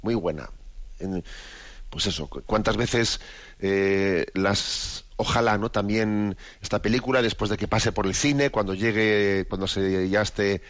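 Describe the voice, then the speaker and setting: Older bishop musing in a low voice. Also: male voice, a little slow, a bit bright, no room sound. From Spain. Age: 60s. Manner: rambling and reflective, many fillers and restarts. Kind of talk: informal spoken reflection. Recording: dry, dull lo-fi audio.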